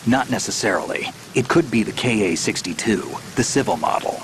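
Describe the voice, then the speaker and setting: An actor playing a wary veteran male soldier on a stealth mission, speaking in clipped, low, gravelly tones. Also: animated, brisk, background noise, natural voice. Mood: tactical. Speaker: stealth operative. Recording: game soundtrack music behind. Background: loud music and rain.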